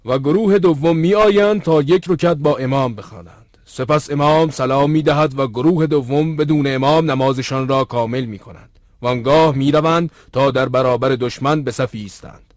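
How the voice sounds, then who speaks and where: A man playing an earnest religious narrator in an educational cartoon, with formal, bookish, measured delivery. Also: mid-range voice, a little mellow, no echo, clean studio sound, lightly acted. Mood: explanatory.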